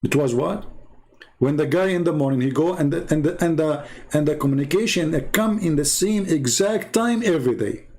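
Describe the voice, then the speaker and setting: Gravelly man, rambling and scornful. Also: fairly high pitch, expressive, normal speed, a little mellow. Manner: rambling, scornful, repeats himself. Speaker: online commentator. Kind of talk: casual monologue.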